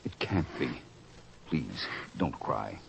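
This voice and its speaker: Low-voiced, grave apostle. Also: male voice, very animated, slow pace, creaky, fairly bright tone, full character voice. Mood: gentle, humbled. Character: grave, compassionate apostle, humble leader of the group. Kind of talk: old-fashioned radio drama acting. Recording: hissy old radio drama recording.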